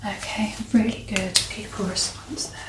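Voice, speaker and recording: A woman speaking softly, often dropping into a whisper, gently giving instructions as a doctor in an ASMR medical exam roleplay. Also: medium pitch, steady tone, moderate pace. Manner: soft, partly whispered, gently instructing, reassuring. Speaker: performer playing a doctor giving an eye exam. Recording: roomy, distant mic, faint noise.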